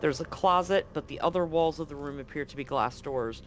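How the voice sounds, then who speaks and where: A man narrating as game master, describing scenes in a halting way with frequent ums and restarts. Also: fairly high pitch, a little hiss or hum.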